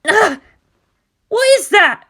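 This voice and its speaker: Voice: very animated, slow pace, bright, forceful tone, heavily acted. A narrator voicing a frightened teenage girl pilot in agony, gasping and panicked, in a dramatic story reading. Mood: pained panic. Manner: gasping, high-pitched, panicked. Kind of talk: dramatic audiobook character acting.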